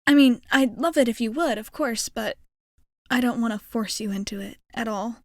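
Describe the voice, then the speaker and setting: High-voiced, hesitant alien girl played by a woman. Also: normal speed, no echo, studio quality, natural voice. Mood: nervous, self-effacing. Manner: hesitant, rambling, self-effacing. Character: shy, nervously hopeful young female alien space traveler. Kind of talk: ASMR roleplay, intimate character monologue.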